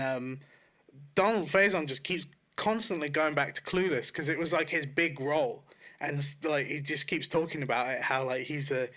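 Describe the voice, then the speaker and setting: A man's voice: fairly high pitch, bright, forceful tone. A man co-hosting a podcast in casual chat, blunt and swearing freely. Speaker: podcast co-host. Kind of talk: casual chat about films and shows.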